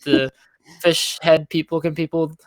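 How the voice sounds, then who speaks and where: Male co-host, slightly high clean voice, loose informal chat with hedging phrases. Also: flat delivery, unhurried, no room sound, rough recording. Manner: hedging, informal, leans on "like" and "I mean". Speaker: podcast co-host. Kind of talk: casual chat.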